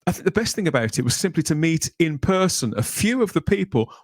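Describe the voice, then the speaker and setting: A man's voice: on the high side, big pitch swings, a little fast, no room sound. A man from Birmingham with an English accent, presenting and chatting as a podcast host. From Birmingham, England. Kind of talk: upbeat podcast presenting and chat.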